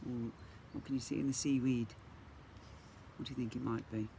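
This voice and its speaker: A man reading a picture book expressively as a teacher for young children, pausing to ask listeners questions. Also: mid-range voice, steady tone, vocal fry, warm tone, slight background noise. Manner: questioning, engaging asides to listeners.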